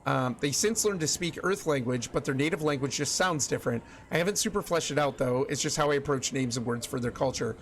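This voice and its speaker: A man's voice: mid-range voice, a little fast, few pauses, slight background noise. A young man streaming, chatting casually with his viewers and thinking aloud with lots of restarts and fillers.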